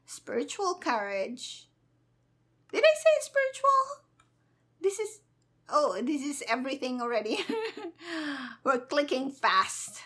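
A woman doing casual, playful gameplay commentary as a streamer, with a giggly, animated, sassy manner. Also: sits high, slow, pauses often. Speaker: gaming streamer.

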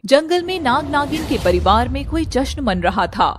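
A woman's voice: high-pitched, moderate pace, slight background noise. A woman narrating a cartoon story in scripted, dramatic style. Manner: expressive, dramatic.

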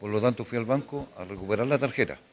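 A man's voice: medium pitch, quick pace. A man in his sixties with a Chilean accent, hesitantly recounting an experience in an interview. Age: 60s. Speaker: fraud victim interviewee. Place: indoor office. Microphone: handheld interview mic.

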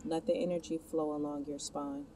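A woman with a notably low voice narrates a guided meditation. She speaks in scripted, instructional phrases addressed straight to the listener. The mic sounds distant, with clear background noise around her. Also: little pitch movement, moderate pace. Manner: instructional, addressing the listener with gentle imperatives and questions.

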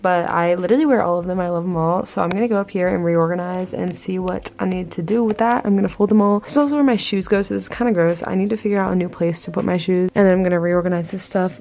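A woman's voice: medium pitch, creaky, a little mellow. A young woman vlogging casually to the camera from her college apartment bedroom, chatty and full of "like". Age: young adult. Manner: chatty, filler-heavy with frequent "like". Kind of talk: casual vlog talk to camera. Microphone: camera held at arm's length.

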